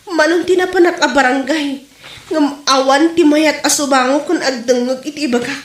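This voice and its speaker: A woman's voice: high voice, moderate pace, echoey room, close to an everyday voice. A woman playing a brash, chatty village campaigner who exclaims and scolds, in a comedy audio drama. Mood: compliant. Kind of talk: comedy radio drama acting.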